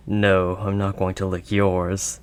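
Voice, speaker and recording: A man voicing a gruff but kindly werewolf of the wild woods in an intimate audio roleplay, with a halting, gently protective manner. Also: low-pitched. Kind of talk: audio roleplay, intimate second-person acting.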